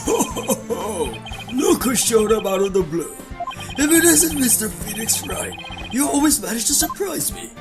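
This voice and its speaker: A woman's voice: fairly high pitch, sing-song, unhurried. A woman voicing a genial, formal, easily bemused old male judge in a video game fandub. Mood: jovial surprise. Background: loud game music.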